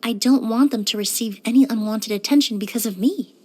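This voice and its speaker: A woman playing a courteous, composed young woman in a video game, speaking politely and earnestly with careful, measured wording. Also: a little high, darkish tone, close to an everyday voice. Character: poised, courteous young woman perfumer and forensic cleaner. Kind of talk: scripted video game character voice lines.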